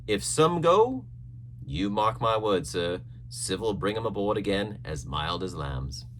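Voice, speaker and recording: A man voicing a blunt, resolute ship's captain in an adventure storybook, speaking briskly and formally. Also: fairly low pitch, sing-song, fairly bright tone, slight background noise. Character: blunt, resolute male ship's captain planning against mutiny.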